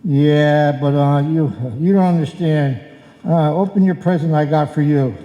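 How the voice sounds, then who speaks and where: Casting: male actor as sentimental, blustery husband for old-time radio comedy, fairly high voice, very dark tone, live hall sound. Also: steady tone, unhurried, dull audio, lightly acted. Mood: sheepish. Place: indoor hall stage, live performance. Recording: echoey hall, live stage performance.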